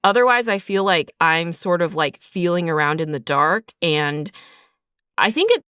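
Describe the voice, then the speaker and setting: Lower-voiced woman, smooth and clear. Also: lively intonation, moderate pace, fairly bright tone, no room sound, clean studio sound. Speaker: writer and creator of an audio drama. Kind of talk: casual interview answers.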